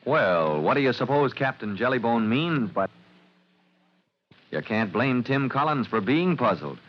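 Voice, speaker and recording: A man's voice: mid-range voice, sing-song, measured pace. Male old-time radio narrator, muffled. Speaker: narrator and announcer. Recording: muffled old radio recording, some noise.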